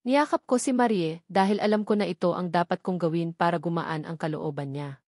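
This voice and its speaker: A woman's voice: lowish voice, brisk, a little mellow, dry recording, clean studio sound. A woman with a Filipino accent reading a first-person novel as a scripted narration. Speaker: audiobook narrator.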